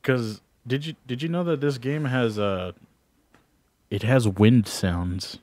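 A man's voice: medium pitch, takes it slowly, a little mellow, dry recording. A man chatting on a game stream, joking a lot and laughing at himself. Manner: joking, laughs often, self-deprecating. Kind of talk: casual gaming chat.

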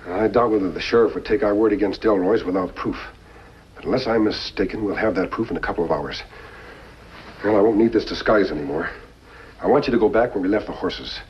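An actor playing a calm, authoritative masked cowboy lawman with deliberate speech in a 1950s western. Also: lowish voice, a little hiss or hum, natural voice. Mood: calm, assured. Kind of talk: 1950s television western acting. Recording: dull old 1950s television soundtrack.

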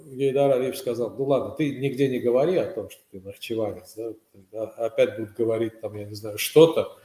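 An older man from Azerbaijan talks as a guest over a webcam call, measured and hesitant, pausing often as he tells his stories. His voice sits at an ordinary pitch. The sound bounces around an echoey room at home. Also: darkish tone. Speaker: guest, former foreign minister. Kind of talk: casual interview over video call. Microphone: webcam on video call.

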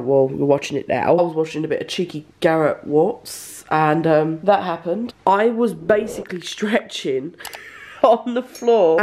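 A woman's voice: low voice, a little mellow. A young woman vlogging casually to a handheld camera, congested and with a sore throat, chatty and rambling. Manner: chatty, bubbly, rambling with many "like"s. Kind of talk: casual vlog chat to camera. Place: at home indoors and in a car. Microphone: handheld camera close to face.

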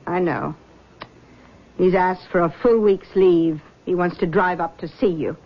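A woman's voice: fairly low pitch, unhurried. An actress playing a doting but meddling, disapproving middle-aged mother, coaxing and pointed, in a 1970s radio drama. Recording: dull vintage radio recording.

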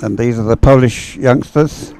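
A man giving unhurried, chatty live race commentary in an encouraging manner. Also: medium pitch, a little mellow, faint music behind. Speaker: sports commentator.

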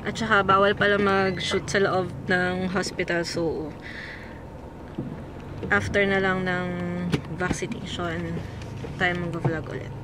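A woman's voice: on the low side, little pitch movement, a little slow, pauses often. A woman in her thirties with a Filipino accent, chatting casually to a handheld camera as a family vlogger. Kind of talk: casual vlog chat. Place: inside a car, then shopping mall and restaurant. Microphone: handheld camera at arm's length. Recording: noisy car and mall ambience.

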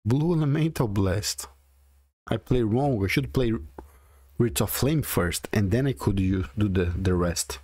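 A man's voice: fairly low pitch, a little slow, darkish tone, no room sound. A man in his forties with a strong Brazilian Portuguese accent, chatting and thinking aloud as he comments on his own card game. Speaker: gaming video creator. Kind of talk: casual gameplay commentary.

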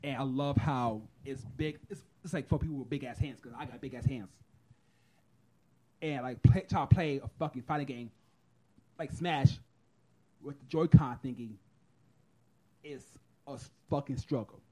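A man's voice: medium pitch, moderate pace, long pauses, darkish tone. A man co-hosting a casual podcast chat, rambling in a laid-back, profane way, streamed live from a phone. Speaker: podcast co-host. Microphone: phone livestream.

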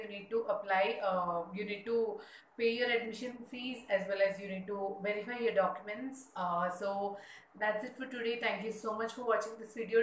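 Woman, mid-pitched voice, steady explainer with uh fillers, roomy sound. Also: slight background noise. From India. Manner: steady explanatory, frequent "uh" fillers, gestures with hands. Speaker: education channel host. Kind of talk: informational vlog. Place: small indoor room. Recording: echoey room with faint ambience.

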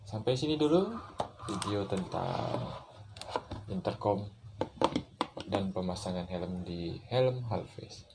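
A man talks through a casual how-to. He goes very slowly, in informal, halting steps. There is clear background noise in the recording. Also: low voice, animated. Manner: informal, halting step-by-step explaining with frequent tag words. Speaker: motorcycle vlogger presenting a how-to. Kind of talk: casual hands-on tutorial talk. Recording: noticeable background noise, room ambience.

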